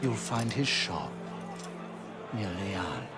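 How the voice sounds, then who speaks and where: An actor playing a pompous, teasing, philosophizing aristocrat in a video game cutscene. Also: male voice, lowish voice, big pitch swings, slow, loud music behind the voice. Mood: smug, teasing. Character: flamboyant, smug, philosophizing aristocrat.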